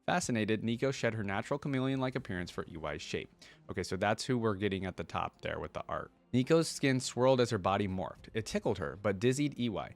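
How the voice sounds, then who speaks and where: A man with a fairly low voice, recorded close and dry with hardly any room sound. He reads lore aloud in a narrating way and drops in loose, casual asides, in the style of an online reaction host. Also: animated, fairly quick, no echo. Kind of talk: reading a story aloud with casual commentary.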